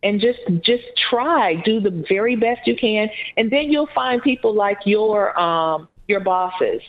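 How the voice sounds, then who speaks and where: A woman with a Southern US accent, co-hosting a podcast in an earnest, encouraging, unhurried manner. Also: mid-range voice. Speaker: podcast co-host. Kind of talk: casual podcast chat. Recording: phone-like narrow band.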